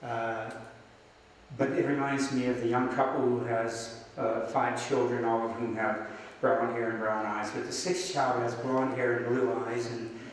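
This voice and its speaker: A man whose first tongue is Slovak reads his poems in a slow, deliberate way, then chats loosely between them. His voice is heard from some distance in a big room with long, ringing reverb and a faint hiss behind it. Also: medium pitch, level tone. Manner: slow deliberate poem reading, chatty aside with fillers. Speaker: poet giving a reading. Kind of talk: poetry reading with anecdotes. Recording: echoey hall, distant mic, faint noise.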